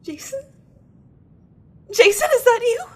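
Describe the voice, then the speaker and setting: A woman playing a frightened wife calling for her husband in a radio drama, halting and breathless. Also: very high voice, big pitch swings, a little hiss or hum, exaggerated voice. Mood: anxious. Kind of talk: fan radio drama acting. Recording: distant voice over loud music.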